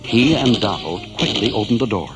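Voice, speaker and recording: A man's voice: lowish voice, expressive, moderate pace. A man giving dramatic scripted narration of a children's story over music.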